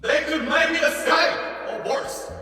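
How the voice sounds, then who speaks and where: A man's voice: steady tone, unhurried, full character voice. A man playing a greedy, restless ghost with a taunting, folksy manner and a ghostly reverb, in a radio drama. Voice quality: high, sharp, bright. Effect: heavy ghostly reverb. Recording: long echoing reverb over music.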